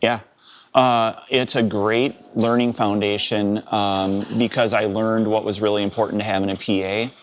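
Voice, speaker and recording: A man in his fifties with a Wisconsin accent, a live sound engineer telling his story in a relaxed, rambling interview. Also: on the low side, normal speed, a little hiss or hum. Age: 50s. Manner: relaxed, rambling, with many "uh" and "you know".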